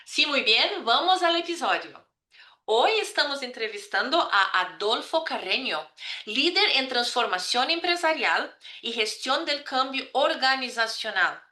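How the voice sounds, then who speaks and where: A woman with a bright, clear voice set a little high hosts a podcast. She reads her welcome in an upbeat, measured way, then moves into interview questions. Her voice rings in a noticeably echoey room. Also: animated. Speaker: podcast host. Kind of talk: scripted intro, then interviewing.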